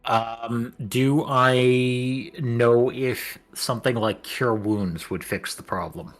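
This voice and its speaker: Slow-talking man playing a tabletop game. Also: medium pitch, soft background music. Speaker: player. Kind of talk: casual tabletop play.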